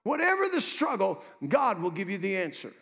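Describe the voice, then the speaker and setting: A man preaching as pastor, moving between loud exhortation and quiet asides, joking with his congregation. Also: sits high, sing-song, normal speed, vocal fry. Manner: jokes with the congregation. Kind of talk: preaching a sermon.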